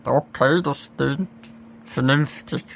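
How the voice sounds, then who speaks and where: A man's voice: mid-range voice, slow pace, vocal fry, a little hiss or hum. A man with a Swiss German accent playing a skeptical, down-to-earth detective sidekick in a comic audio drama, dry and placating. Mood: dutiful.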